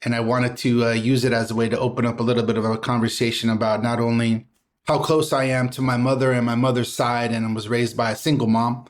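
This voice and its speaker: A middle-aged man from Southern California with a voice of ordinary pitch. He recites spoken-word poetry in a rhythmic cadence, then shifts to easy, reflective conversation. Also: level tone, quick pace. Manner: rhythmic spoken-word delivery, mixing in Spanish words. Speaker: poet and guest.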